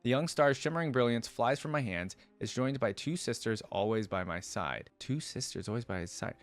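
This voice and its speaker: A man reading a story aloud and breaking into casual, expressive, slangy commentary as a reaction host. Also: mid-range voice, moderate pace, faint music behind.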